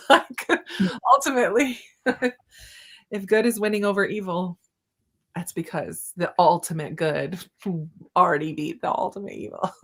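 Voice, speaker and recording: A woman's voice: medium pitch, fairly bright tone. A woman hosting a casual book discussion, chatting informally and often laughing through her words.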